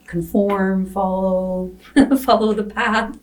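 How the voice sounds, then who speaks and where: A woman's voice: medium pitch, fairly even intonation, takes it slowly. A woman hosting a casual podcast conversation, speaking in an affirming way and often checking for agreement. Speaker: podcast host. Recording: distant microphone.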